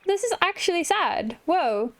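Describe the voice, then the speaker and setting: Female let's-play host: high, animated voice, casual commentary. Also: unhurried. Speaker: gaming video host.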